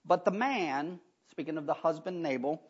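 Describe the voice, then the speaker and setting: A man preaching a sermon in a church, hoarse from allergies and talking in a folksy way with chatty asides. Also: fairly high pitch, normal speed. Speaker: pastor. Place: church sanctuary. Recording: dull lo-fi recording.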